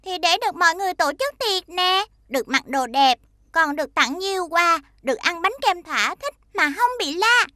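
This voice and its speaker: A woman's voice: very high voice, expressive, normal speed, bright, forceful tone, no echo, clean studio sound, heavily acted. A woman voicing an eager, childlike little girl in a children's cartoon, with a Southern Vietnamese accent. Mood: wishful. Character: excitable, pleading little birthday girl. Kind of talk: animated children's cartoon dubbing.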